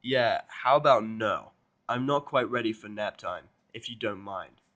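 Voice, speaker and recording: A man's voice: lowish voice, measured pace, highs cut off. Sarcastic, foul-mouthed young human man acted by a narrator. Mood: rude, dry. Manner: dry, deadpan, swearing.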